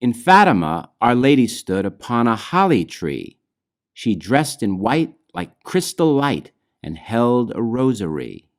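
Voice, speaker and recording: Slow, expressive man reading storybook verse. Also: medium pitch. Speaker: author reading own children's book. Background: music.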